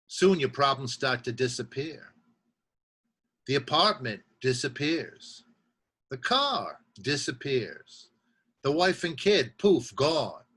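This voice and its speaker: An actor playing a streetwise, self-deluding drinker, husky and sardonic, in a punchy theatrical monologue. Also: male voice, medium pitch, bright, forceful tone, full character voice. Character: streetwise, self-deluding hard-drinking man confessing his downfall.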